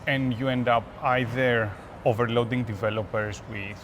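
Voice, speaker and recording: A man from Greece speaks with a Greek accent as an interview guest. His voice sits at a medium pitch and he pauses between phrases as he explains things. Noticeable urban background noise runs behind him. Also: fairly even intonation. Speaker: guest, application security expert. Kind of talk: conversational interview answers. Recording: noisy urban ambience behind voice.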